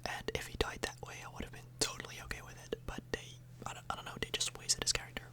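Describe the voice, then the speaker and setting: A woman speaking in a breathy whisper, rambling casually for an ASMR video, close to the microphone and often tagging on questions. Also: quick pace. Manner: casual, meandering, frequent tag questions.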